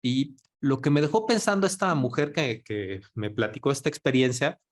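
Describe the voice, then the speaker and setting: A man's voice: mid-range voice, moderate pace. Man, panelist, video call, conversational, story-driven. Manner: storytelling, quotes others in lively voices. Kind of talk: conversational talk in an online panel.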